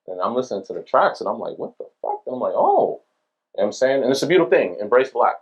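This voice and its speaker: A man with a Brooklyn accent hosting a casual podcast chat, talking fast and animated with lots of slang. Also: mid-range voice, breathy edge, dull audio. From Brooklyn, New York. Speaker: podcast host.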